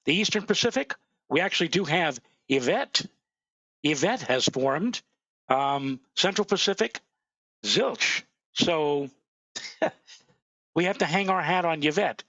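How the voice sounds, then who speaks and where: A man co-hosting a live weather show, hoarse and losing his voice from a cold, talking in a relaxed, low-key way. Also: a little slow, long pauses, no echo, lo-fi sound. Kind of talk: casual live weather talk.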